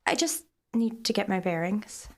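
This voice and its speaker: An actress playing a shaken, evasive woman under questioning in an audio drama, speaking in halting, short answers. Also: mid-range voice, close to an everyday voice. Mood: confused, anxious. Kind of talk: naturalistic audio drama acting. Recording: clear background noise.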